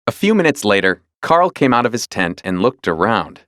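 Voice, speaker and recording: Male storybook narrator for young readers: animated, dramatic, mid-pitched, dry studio-style sound. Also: moderate pace, dry recording. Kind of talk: scripted children's read-along narration.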